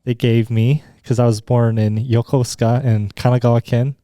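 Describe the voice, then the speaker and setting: Easygoing young man, smooth dark voice. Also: mid-range voice, level tone, normal speed, no room sound, studio quality. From Utah. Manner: easygoing, hesitant with fillers, agreeable. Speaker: guest. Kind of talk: casual chat.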